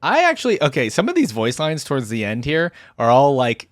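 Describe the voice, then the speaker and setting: A man's voice: medium pitch, huge pitch swings, fairly quick, no echo, clean studio sound. A man hosting a reaction video with informal, exclamatory casual commentary.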